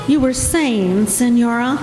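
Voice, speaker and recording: A woman's voice: fairly high pitch, slow pace, over music. A woman playing an innocent, apprehensive young wife in a radio play. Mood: anxious. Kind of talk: dramatic radio play acting. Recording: noisy, echoey hall.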